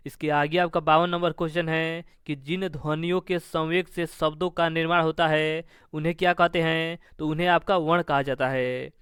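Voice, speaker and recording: Clear, higher-pitched man teaching exam questions. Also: a bit bright, dry recording, studio quality. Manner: formulaic, repeats stock phrases between items. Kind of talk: quiz-style lesson, reading questions and answers.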